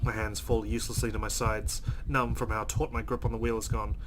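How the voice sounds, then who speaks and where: A man's voice: on the low side, little pitch movement, a little fast, music in the background, natural voice. A man reading aloud the part of a shaken, exhausted young woman driver in a horror visual novel, narrating dramatically. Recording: noisy home recording.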